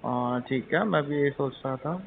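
A man's voice: medium pitch, normal speed, creaky, lightly acted. A man playing a teasing, penny-pinching young husband-to-be chatting casually and hesitantly on a phone call. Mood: joking, reluctant. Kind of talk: acted intimate phone-call drama in casual chat. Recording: tinny phone line, faint noise.

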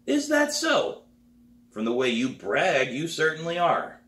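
A man's voice: a little high, huge pitch swings, unhurried, a bit bright, pitched above the performer's own voice, full character voice. A man voicing a crabby, skeptical middle-aged drum teacher in a storybook reading, dry and dismissive. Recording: roomy, echoey living room.

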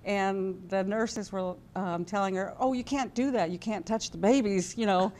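A woman in her sixties telling a personal story as an interview guest, halting and conversational. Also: mid-range voice, darkish tone. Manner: halting, conversational, voices quoted dialogue. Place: indoor TV studio-style set at a convention. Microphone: lapel mic. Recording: background noise and room ambience.

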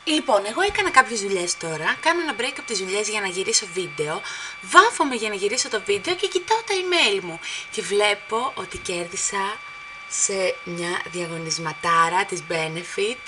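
A young woman, chatting to her handheld camera as she walks through her family home, excitable and full of quick asides to viewers. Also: mid-range voice, background noise. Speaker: vlogger. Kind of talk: casual vlog chat to camera. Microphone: handheld camera at arm's length.

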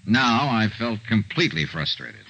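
A persistent American private investigator in a 1950s radio serial, probing and narrating in clipped phrases. The voice is slightly low with a sharp, forceful edge, on a muffled old recording. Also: male voice, very animated, moderate pace, gravelly, faint music behind, exaggerated voice. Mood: terse, weary narration. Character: persistent, hard-boiled male insurance investigator. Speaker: lead actor and narrator.

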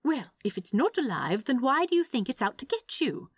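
A woman's voice: high voice, sing-song, moderate pace, husky, no room sound, clean studio sound, pitched above the performer's own voice, heavily acted. A woman voicing a prim, doting male angel with a fussy, polite manner in a storybook reading. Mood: prim, scolding. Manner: fussy, polite, cooing, tutting. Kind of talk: storybook character voice in fan fiction reading.

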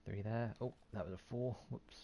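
A man's voice: fairly low pitch, steady tone, moderate pace, mellow. A man giving casual, sweary commentary on his gameplay, often muttering to himself over video game sounds. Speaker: gaming video host. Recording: clear background game noise.

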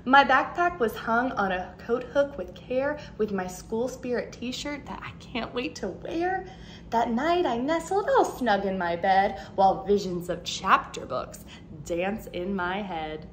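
A woman in her thirties, a teacher, reading a picture book aloud to young children in an expressive, animated way. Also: on the high side, a little slow, a little hiss or hum. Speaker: second grade teacher. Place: living room at home, indoors.

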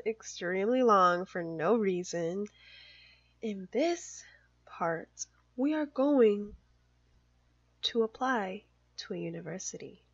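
A woman's voice: a little high, dry recording, highs cut off. A young woman, a mother, chatting casually through a let's play, filling her talk with "like" and little giggles. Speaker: gaming YouTuber.

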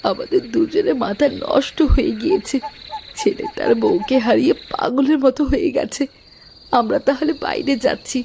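A woman's voice: high voice, creaky, a little mellow, slight background noise, heavily acted. A woman voicing a scheming older mother-in-law in a cartoon, who wails and scolds. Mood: pleading. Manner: wailing, scolding. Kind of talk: Bengali cartoon dubbing.

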